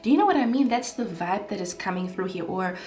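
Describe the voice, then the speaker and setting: A woman reads tarot cards straight to camera in a loose, rambling way, full of fillers and checking in with "okay?" after her phrases. Her voice sits at an ordinary middle pitch. The room around her rings with noticeable echo. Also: lively intonation, fast, mellow. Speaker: tarot card reader. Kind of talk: casual pick-a-card tarot reading to camera. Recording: echoey room.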